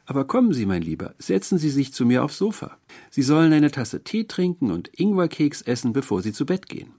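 Man voicing a cooing, eerie sweet landlady, raised pitch. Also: sing-song, a little fast, mellow. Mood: cosy, coaxing. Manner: cooing, motherly, over-familiar endearments. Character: sweet, doting, quietly eerie middle-aged landlady, female.

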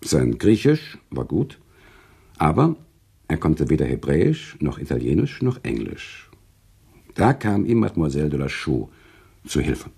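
A compassionate, serious author in a radio play. His low voice is gravelly and dark, and it moves widely in pitch as he speaks in measured, literary sentences. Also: very animated, exaggerated voice. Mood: earnest recounting. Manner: measured, literary, earnest delivery. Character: grave, compassionate male author recounting a friend's tragic love. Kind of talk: German radio play storytelling and dialogue.

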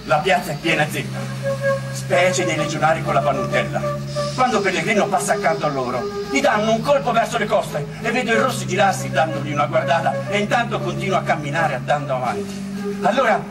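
A man acting tells history like an eyewitness, in a stagey storytelling style. His voice is slightly distant. Music plays loudly behind it. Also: on the high side, expressive, a little fast, natural voice. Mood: tense recounting. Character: Roman male storyteller recounting events of 1849. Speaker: actor and narrator.

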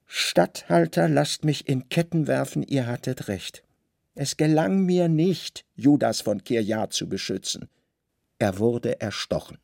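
Man as a calm, deadpan Roman spymaster. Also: mid-range voice, measured pace, vocal fry, no room sound, clean studio sound. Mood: grave, contrite. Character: grave, calculating Roman secret service chief, loyal man. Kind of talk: literary radio drama acting.

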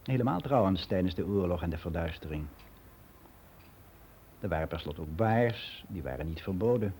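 Mellow older man reminiscing, faint hiss. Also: deep voice, lively intonation, normal speed. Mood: candid, reminiscing. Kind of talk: radio play monologue as a personal testimony.

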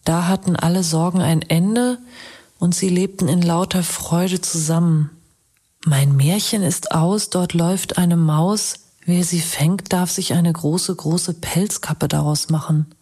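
A husky woman storyteller recites an old fairy tale for a radio play. Her voice is fairly low, and she tells it solemnly and deliberately. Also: steady tone, mellow. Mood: whispered.

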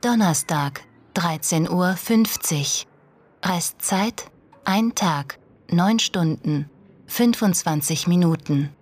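A woman voicing an impersonal auction countdown announcer, measured and formal, in a radio drama. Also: on the low side, animated, darkish tone.

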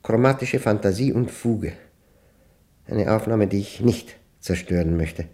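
An actor playing a solitary, pedantic mathematics professor in a German radio play monologue, speaking precisely and haltingly, with a creaky voice. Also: male voice, on the low side, mellow, lightly acted. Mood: precise, uneasy. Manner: precise, halting, reflective, formal. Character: solitary male mathematics professor, pedantic, orderly, secretly romantic.

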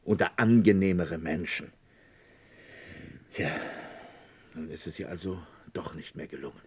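An actor playing a village lawyer in a German radio crime drama, speaking in a formal, deliberate, hesitating and discreetly insinuating way. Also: male voice, low voice, a little hiss or hum. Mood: grave, confiding.

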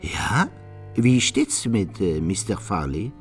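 An actor playing a proud, courteous detective in a radio crime drama, speaking in a formal, deliberate way. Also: male voice, low-pitched, expressive, faint music behind, exaggerated voice. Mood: attentive.

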